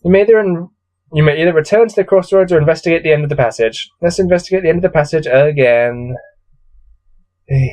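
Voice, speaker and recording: A man's voice: a little high, quick pace, a little mellow, rough recording. A man with a cold coming on reads a gamebook aloud, adding weary, exasperated, off-the-cuff commentary. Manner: weary, grumbling, exasperated asides. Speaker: solo gamebook reader and commentator.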